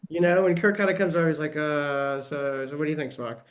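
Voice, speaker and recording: Guy co-host, pretty high voice, jumps into the debate, casual. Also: fairly quick. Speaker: podcast co-host.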